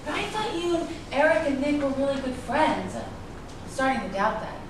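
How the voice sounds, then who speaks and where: Actress doing a guarded sarcastic woman in a stage drama, swingy pitch, far from the mic. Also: big pitch swings, moderate pace. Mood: challenging. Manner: sarcastic, cutting, confrontational. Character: defiant, guarded actress in love with a suspected man. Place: echoey room at a staged reading. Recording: echoey room, distant mic, noisy background.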